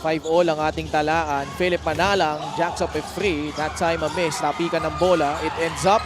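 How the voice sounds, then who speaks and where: A man's voice: a little high, flat delivery, fairly quick. A man with a Filipino accent calling live basketball play-by-play, switching between English and Tagalog. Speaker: play-by-play commentator.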